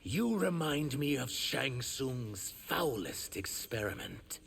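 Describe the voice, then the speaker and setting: Gravelly, earnest reptilian shapeshifter. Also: male voice, mid-range voice, very animated, slow pace, fairly bright tone, exaggerated voice. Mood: wary, dry. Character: earnest, wounded reptilian shapeshifter man, wary outsider. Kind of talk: video game character acting.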